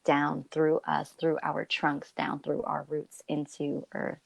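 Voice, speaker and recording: Brief: woman, deep voice, soft and unhurried, dry close recording. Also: completely flat delivery, gravelly, no echo. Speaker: co-host. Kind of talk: casual spiritual chat.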